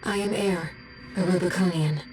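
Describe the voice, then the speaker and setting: Woman acting as soft, lowish ethereal being. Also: fairly even intonation, normal speed, a little hiss or hum, natural voice. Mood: wondering. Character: gentle, ethereal female alien consciousness, earnest and curious. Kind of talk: video game cutscene voice acting.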